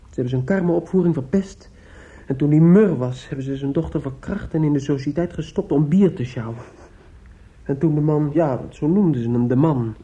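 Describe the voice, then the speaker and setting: An actor in a Dutch radio play playing an earnest young suitor, protective and quietly vengeful, probing and insistent with some hesitation. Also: male voice, a little high, moderate pace, husky. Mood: bitter, vengeful. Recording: muffled, lo-fi old radio recording.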